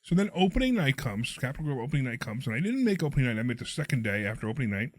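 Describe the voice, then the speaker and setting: Hoarse, fast-talking middle-aged man. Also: medium pitch, no room sound. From Pennsylvania. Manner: animated, quick storyteller. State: hoarse, froggy voice. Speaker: podcast guest, real estate investor. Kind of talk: casual storytelling chat.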